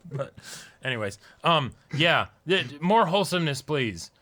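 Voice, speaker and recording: A man's voice: on the high side, sing-song, a little slow, sharp tone. A man co-hosting a casual anime discussion, talking informally and rephrasing as he goes. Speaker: co-host of an anime reaction show.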